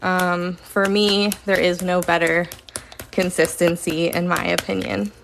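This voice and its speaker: A woman casually chatting during a live stream while she paints, rambling with lots of fillers and sometimes talking softly. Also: lowish voice, measured pace, vocal fry, background noise. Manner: says she is relaxed, talks softly at times.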